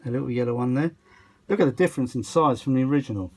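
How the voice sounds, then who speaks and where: A man's voice: mid-range voice, very fast. A British man giving a casual, unscripted running commentary as a hobbyist repairer, often muttering asides to himself while he works. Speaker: hobbyist repairer presenting a video. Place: home kitchen workshop. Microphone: handheld phone.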